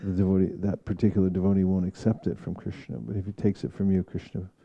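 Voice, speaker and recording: A man speaking as a spiritual teacher in an informal devotional discussion, reflective and halting in his phrasing. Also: low-pitched, little pitch movement, gravelly, muffled recording. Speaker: spiritual teacher leading a scripture class.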